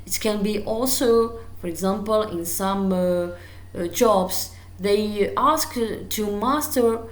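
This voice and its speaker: A woman's voice: medium pitch, slow, echoey room. A woman with an Algerian accent, a native Arabic speaker, giving a university English lecture with frequent fillers and restarts. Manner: hesitant, many fillers and restarts. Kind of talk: recorded lecture.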